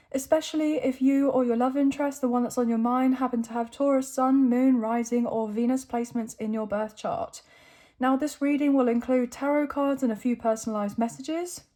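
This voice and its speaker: A woman's voice, a little high and noticeably croaky and worn from overuse. She talks in an easygoing, chatty way, often tagging her sentences with a quick check-in. Recorded on a phone. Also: dark tone. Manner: chatty, often adds tag questions like okay and right. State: croaky, tired voice. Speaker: tarot reader. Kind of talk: casual tarot reading to camera.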